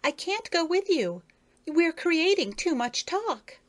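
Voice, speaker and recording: A woman's voice: very high-pitched, big pitch swings, slow pace, pitched above the performer's own voice, full character voice. A woman voicing a haughty young widowed female beetle, fussy and superior. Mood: coy.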